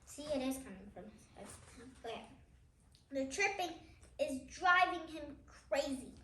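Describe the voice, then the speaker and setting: A child reading a picture book aloud in a halting way, adding casual comments along the way. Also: sits high, lively intonation, pushed and bright, slight background noise. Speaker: child reader, host of a reading channel. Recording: echoey room.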